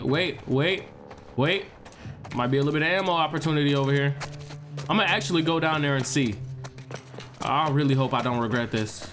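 A young man with a somewhat high voice and a stuffed-up nose talks through a game, joking and reacting out loud to his viewers. His commentary is casual and animated, with faint game music running under it. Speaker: gaming streamer.

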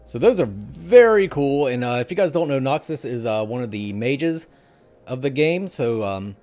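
Middle aged guy hosting a gaming podcast, normal pitch, chatty and informal, headset mic. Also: expressive, moderate pace, a little mellow, faint music behind. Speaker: podcast host. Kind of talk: casual game talk show. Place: indoor room at home.

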